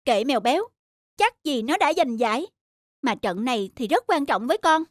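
Teasing young boy, high voice. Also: very animated, normal speed, no room sound, studio quality. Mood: dismissive. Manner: casual, teasing. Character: sporty older brother, young boy, teasing but caring. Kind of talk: cartoon voice acting. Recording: music bed under the voice.

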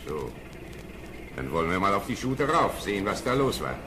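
An actor playing a brisk, commanding police sergeant in a radio play, clipped and authoritative. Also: male voice, low voice, big pitch swings. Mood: investigating. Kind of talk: German radio play acting. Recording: old radio play, loud background noise.

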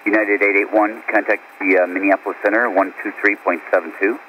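Male controller, low voice, dull radio channel. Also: level tone, a little fast. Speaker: air traffic controller. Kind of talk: radio instructions. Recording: dull, faintly noisy radio.

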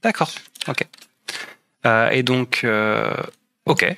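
A young male host asks careful, polite questions and sums up answers to be sure he has understood. His voice is bright and forceful, at a middle pitch for a man, heard through a headset mic from a room at home. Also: extremely slow, creaky, clear, resonant tone. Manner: polite, apologetic, clarifying. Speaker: interviewer, host. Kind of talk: interview questions, rephrasing to check understanding.

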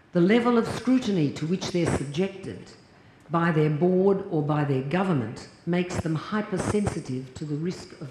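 Woman in her sixties, measured lecturer reading from notes, roomy hall, noisy capture. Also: on the low side. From Australia. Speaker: keynote lecturer. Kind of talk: formal read lecture. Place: large lecture hall at a podium. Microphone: lapel mic and podium mic. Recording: echoey hall, audible noise.

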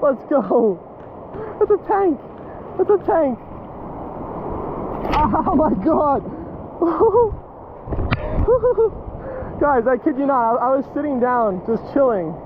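A young man in his twenties vlogging while fishing in a river by a waterfall, excitable and whooping when fish bite, recorded on a handheld action camera. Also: very high voice, phone-quality sound. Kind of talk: excited outdoor vlog. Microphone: action camera held at arm's length. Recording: loud rushing water noise. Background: rushing river water.

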